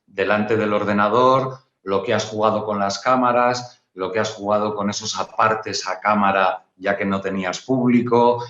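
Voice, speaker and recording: A man with a lowish voice, a native Spanish speaker, talks informally and repeats phrases to stress his point. The sound is dull and echoey, like a remote call from a computer. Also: fairly even intonation, brisk, hardly any pauses, a bit bright. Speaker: playwright. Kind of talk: unscripted conversation.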